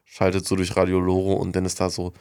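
A young man with a fairly low voice co-hosts a radio show. He talks casually and bluntly, full of slang and English words, as if joking among friends. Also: completely flat delivery, fast talker, darkish tone, a little hiss or hum.

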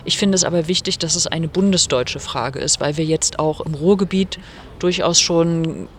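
A woman's voice: sits low, normal speed, slight background noise. A woman from Rostock, an author giving earnest, explanatory answers in an interview, recorded at a venue before her reading. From Germany, Rostock.

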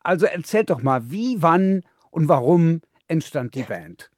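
Slightly high-voiced male interviewer. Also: very animated, measured pace, darkish tone, dry recording, clean studio sound. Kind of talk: interview questions.

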